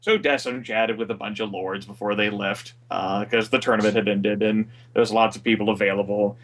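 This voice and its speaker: A man with a booming voice recapping a tabletop game session over a voice call, rambling with many fillers. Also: fairly even intonation, brisk, recorded from a distance. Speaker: game master.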